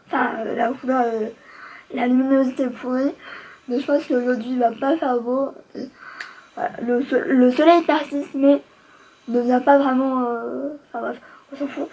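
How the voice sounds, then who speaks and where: A woman with a high-pitched voice talks to her viewers as a beauty vlogger. Her delivery is casual and slangy, full of gushing enthusiasm. A faint layer of noise sits behind her voice. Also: darkish tone, rough recording. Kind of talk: casual makeup product chat to viewers.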